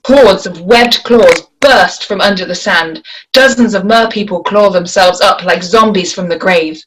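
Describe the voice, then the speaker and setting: A woman reads stage directions as narrator at a table read. Her voice is medium in pitch. There is faint noise in the background. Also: unhurried, a bit bright, low-quality recording.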